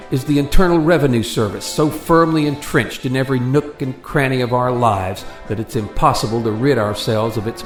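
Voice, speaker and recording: With a roomy echo and some music, a man speaks as a radio host and narrator. His voice sits at a middle pitch, suited to dramatic storytelling and talk.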